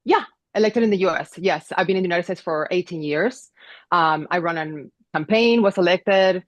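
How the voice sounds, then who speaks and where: Low-voiced woman. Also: moderate pace, no room sound. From Venezuela. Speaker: audience guest, immigration attorney. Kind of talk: conversational personal introduction.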